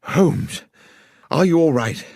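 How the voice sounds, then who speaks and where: Detective's companion in an audiobook, male reader acting: concerned, puzzled, sincere, lively intonation, dry sound. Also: a little high, slow pace, vocal fry, dry recording, pitched above the performer's own voice, full character voice. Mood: urgent. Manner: earnest, questioning. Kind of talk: audiobook character dialogue.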